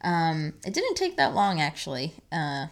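A woman's voice: low voice, wildly swooping pitch, measured pace. A woman co-hosting a podcast, chatting casually with an enthusiastic, talkative manner. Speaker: podcast co-host. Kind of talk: casual conversational podcast chat.

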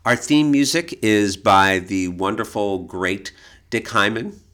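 A man co-hosting a podcast in casual conversation, explaining things in a measured, hesitant way. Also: fairly low pitch, pushed and bright. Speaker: podcast co-host, art expert.

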